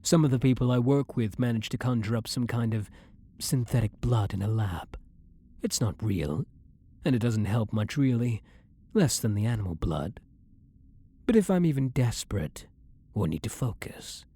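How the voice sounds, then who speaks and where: A man's voice: medium pitch, lively intonation, moderate pace, mellow, slightly rough. A man playing a blunt, guilt-ridden vampire hunter who is secretly a vampire, in an intimate ASMR audio roleplay monologue. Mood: brooding, regretful.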